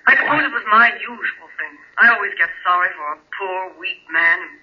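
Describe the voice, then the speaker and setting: An actress playing a proud, disillusioned femme fatale, intense and halting, in 1940s radio melodrama style. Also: female voice, mid-range voice, natural voice. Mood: weary, ashamed. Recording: muffled 1940s radio recording.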